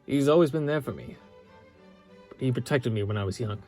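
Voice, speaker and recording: A man playing a loyal young knight in love with his princess, speaking gently and earnestly with halting pauses in a romantic audio roleplay. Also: mid-range voice, animated, natural voice. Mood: fond, earnest. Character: loyal young knight, the princess's tender childhood-friend lover. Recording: orchestral music behind the voice.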